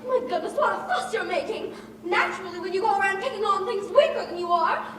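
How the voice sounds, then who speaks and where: A woman's voice: very high-pitched, fast talker, recorded from a distance, slight background noise. An actress playing an earnest, kind young farm girl with a sweet manner, in 1930s film musical style. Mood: scolding. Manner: sweet and earnest, scolding when roused. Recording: echoey old film soundtrack with loud music.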